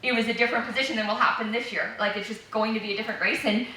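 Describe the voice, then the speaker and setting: A woman's voice: on the high side, fairly even intonation, racing, sharp tone, very echoey, far from the mic, a little hiss or hum, poor audio. A woman giving a casual interview answer in a rambling way, with lots of "like" and restarts. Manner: rambling, many fillers and restarts.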